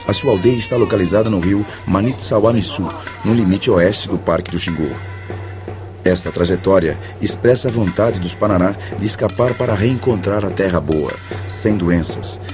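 A man reading scripted documentary narration in a measured, formal way. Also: low voice. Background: music.

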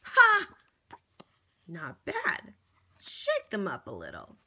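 A woman's voice: lowish voice, wildly swooping pitch, slow, pushed and bright. A woman reading a picture book aloud to young children, theatrical and encouraging, with exaggerated gasps and exclamations. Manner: encouraging, coaxing, addressing the child listener. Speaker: children's storybook reader.

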